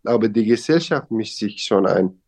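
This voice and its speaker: A man's voice: lowish voice, lively intonation, normal speed, darkish tone, husky. A young man from Syria with a Syrian Arabic accent, speaking German as a learned language in a casual interview, with grammar slips and hesitations. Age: young adult.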